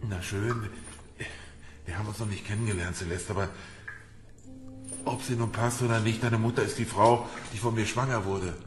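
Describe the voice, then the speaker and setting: Male actor as indignant captive banker, medium pitch, halting, rattled, echoey, music underneath, audio drama. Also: steady tone, lightly acted. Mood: dazed. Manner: halting, agitated. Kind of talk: crime radio drama acting. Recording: echoey room, music behind.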